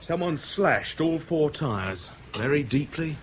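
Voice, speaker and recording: A man's voice: fairly high pitch, lively intonation. A British garage mechanic in a radio play, chatty and unfazed. He talks plainly and without hurry, with faint ambient noise behind him. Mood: matter-of-fact. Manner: matter-of-fact, unhurried. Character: chatty, matter-of-fact male garage mechanic. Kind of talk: naturalistic radio drama acting.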